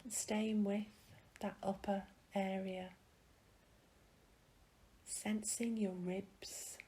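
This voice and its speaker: A woman leading a guided meditation in a soft, breathy, soothing manner with gentle pauses. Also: mid-range voice, little pitch movement.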